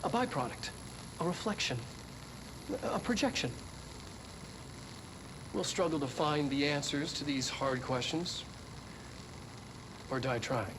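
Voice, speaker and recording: An actor playing an earnest scientist of the paranormal in a game, musing in a deliberate, searching lecture style. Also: male voice, a little high, lively intonation. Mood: pondering, solemn. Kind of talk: recorded scientific lecture to camera. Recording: clearly noisy background hum.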